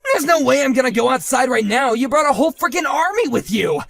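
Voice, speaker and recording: A man voicing a hotheaded bully husband who shouts, whines and stammers when cornered, in a one-man voiced drama. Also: a little high, lively intonation, fast talker, soft background music, natural voice. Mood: outraged panic. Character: hotheaded male bully husband, panicky and blustering.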